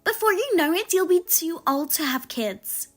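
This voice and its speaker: A woman's voice: very high voice, animated, moderate pace, soft background music, voice pushed up. A woman putting on a scornful, pushy sister-in-law. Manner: sharp, mocking and condescending. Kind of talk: dramatized story reading.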